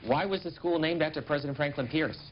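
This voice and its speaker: Male TV host, quick pace, brisk and direct, muffled broadcast audio with light hiss. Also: on the high side. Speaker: television host. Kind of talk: hosting interview and tour.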